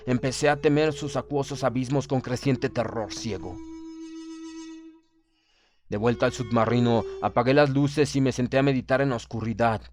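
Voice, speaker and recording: Man narrating a solemn literary audiobook. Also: medium pitch. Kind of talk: dramatic literary audiobook narration in first person.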